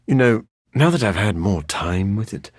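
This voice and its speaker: An actor playing a brooding, erudite vampire scientist in a video game, raspy and gravelly, with formal, precise, deadpan phrasing and a dry sardonic streak. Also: male voice, on the low side, normal speed, dry recording. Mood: dry, thoughtful. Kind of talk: video game character voice lines.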